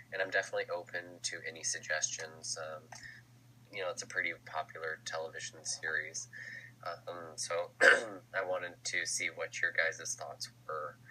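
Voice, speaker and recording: A young man gives a class presentation while fighting a cold, his deep voice sounding stuffy and nasal yet bright. He hesitates often, filling gaps with ums and restarting sentences. A faint hum sits under the recording. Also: poor audio. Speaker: student presenter.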